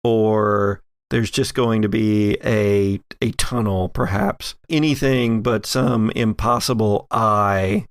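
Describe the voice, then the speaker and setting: A man's voice: fairly low pitch, fairly even intonation, slow, few pauses, clear, resonant tone, no room sound, studio quality. A man acting as game master, improvising narration for a tabletop horror game.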